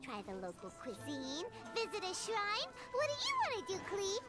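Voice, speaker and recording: A woman voicing a tiny, proud fairy companion in a video game, squeaky and childlike, perky and a bit boastful. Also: wildly swooping pitch, normal speed, heavily acted. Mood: perky, helpful. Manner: perky, boastful, refers to herself by name. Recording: loud music bed underneath.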